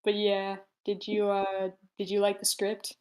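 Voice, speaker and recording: A woman's voice: medium pitch, monotone, a little slow, a little mellow. A woman hosting an informal group discussion, chatting casually and wordily as she feels her way toward her points. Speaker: host of a screenplay table read discussion. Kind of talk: casual chat.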